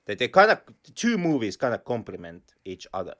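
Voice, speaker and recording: A man from Finland with a Finnish accent, co-hosting a podcast and chatting in a halting way, repeating words as he searches for them. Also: on the low side, huge pitch swings, a bit bright. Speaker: podcast co-host. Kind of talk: casual chat.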